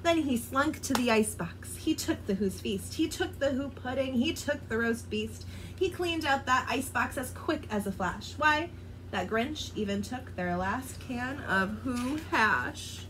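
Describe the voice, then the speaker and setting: A woman reading a children's rhyming book aloud, in an expressive, sing-song delivery. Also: high-pitched, measured pace, background noise. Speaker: storyteller.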